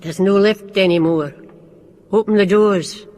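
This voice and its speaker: A woman acting in a radio drama as an eerie, insistent female guide who speaks curtly and flatly. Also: mid-range voice, unhurried, close to an everyday voice. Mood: insistent, menacing. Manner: curt, flat, insistent. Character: Martha, terse, eerie, insistent female guide in a derelict hospital. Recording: long echoing reverb, faint background noise.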